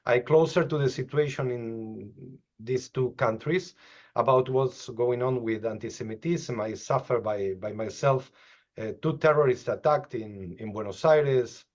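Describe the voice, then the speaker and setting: Interviewer, fifties, hesitant delivery, dull webcam sound. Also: male voice, mid-range voice, darkish tone. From Argentina and Brazil. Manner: halting, searching for words. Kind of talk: interview questions over video call. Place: office with bookshelves. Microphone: video call webcam.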